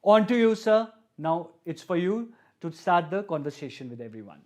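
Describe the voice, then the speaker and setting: A man's voice: sits high, big pitch swings, moderate pace. A man hosting a webinar and introducing a guest in an earnest, slightly repetitive way with frequent fillers. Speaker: webinar host.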